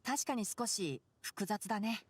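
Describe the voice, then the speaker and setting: A woman voicing a calm, thoughtful young male ranger in an anime-style game, explaining things with composure. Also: medium pitch, a little fast, slightly rough, no echo.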